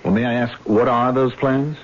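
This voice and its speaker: A man's voice: mid-range voice, fairly even intonation, normal speed, natural voice. An actor playing a skeptical young man in a vintage radio drama, stammering and incredulous. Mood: puzzled. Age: young adult. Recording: muffled vintage radio recording with hiss.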